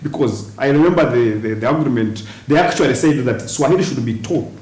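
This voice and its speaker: A man speaks as a guest on a studio panel, working through his points deliberately and stopping often to restart. His medium-pitched voice carries some room echo over faint hiss. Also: expressive, a little mellow. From western Uganda, near Congo and Rwanda borders. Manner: deliberate, frequent "uh" pauses and restarts.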